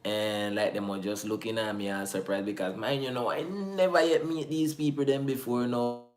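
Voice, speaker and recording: A man's voice: medium pitch, normal speed. A young man with a Nicaraguan Creole English accent, chatting casually as host and slipping into patois. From United States, Bay Area, California. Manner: loose and animated, drifting into Creole patois. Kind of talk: casual chat reacting to comments.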